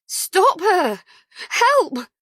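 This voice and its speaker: A woman voicing a panicked new mother in an audiobook, shrill, urgent and insistent. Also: big pitch swings, extremely slow, a bit bright, no echo, heavily acted. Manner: urgent, pleading.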